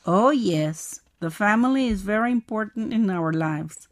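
A woman's voice: mid-range voice, expressive, unhurried. A woman, playing the curious student in a scripted English lesson. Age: 40s. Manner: curious, asking questions. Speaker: co-host acting as student.

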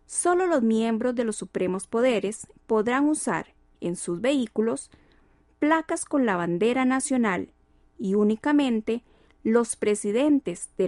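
A woman's voice: on the high side, darkish tone, dry recording, clean studio sound. A woman reading a law text aloud in a careful, official manner. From Costa Rica. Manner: slow, careful, official recital. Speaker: narrator.

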